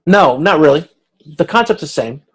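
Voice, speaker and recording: A man instructing in an informal online tutorial, thinking aloud in a halting way, correcting himself and checking that he is being followed. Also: a little high, lively intonation, a bit bright, breathy edge. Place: online video conference.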